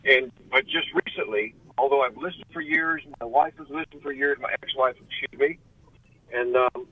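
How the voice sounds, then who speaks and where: A man's voice: mid-range voice, normal speed, slightly rough, no echo. Late-sixties male caller on a car phone, candid, rambling delivery, tinny dry line. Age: 60s. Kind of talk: call-in radio chat. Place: in a car pulled over.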